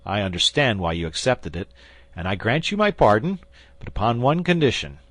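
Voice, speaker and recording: A man's voice: lowish voice, very animated, a little fast, fairly bright tone, dry recording. A man voicing a fierce young guardsman who questions and threatens a wounded enemy. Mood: wry. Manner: commanding, stern.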